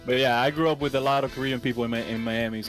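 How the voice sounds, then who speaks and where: A man's voice: mid-range voice, steady tone, racing. A man from Venezuela with a Cuban and Dominican accent, co-commentating a fighting game in laid-back, laughing banter. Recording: game music behind. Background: video game music.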